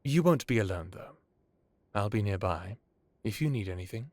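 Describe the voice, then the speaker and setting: A man playing an ancient, courteous, world-weary vampire lord in an audio roleplay, speaking in a measured, knowing, genteel way. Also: lowish voice, expressive, darkish tone, dry recording. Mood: reassuring. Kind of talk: dramatic audio roleplay acting.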